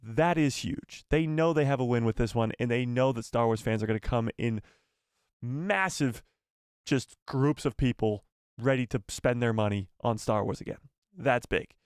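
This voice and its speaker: A man's voice: medium pitch, moderate pace, smooth, dry recording, studio quality. A man hosting a live fan news stream, reading news and adding rambling, self-correcting asides to his viewers. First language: not Spanish. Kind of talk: casual live news chat.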